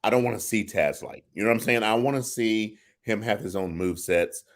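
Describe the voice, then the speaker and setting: A man with a very low, deep voice chats casually as a co-host. He speaks bluntly and is firm in his opinions. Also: a little fast. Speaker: podcast co-host. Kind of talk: casual wrestling talk.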